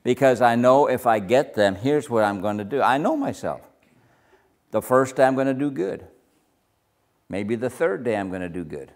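An older man with a naturally loud voice, leading a Bible study group discussion in a casual, talkative way. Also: mid-range voice, normal speed. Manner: loud, gets louder when excited.

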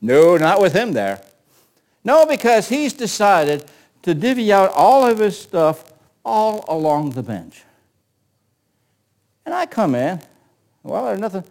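A man's voice: sits high, sing-song, slow pace, vocal fry, long pauses, darkish tone. A man in his sixties preaching in an emphatic, conversational way, repeating phrases and asking rhetorical questions as he teaches from scripture. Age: 60s. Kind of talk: sermon with scripture readings.

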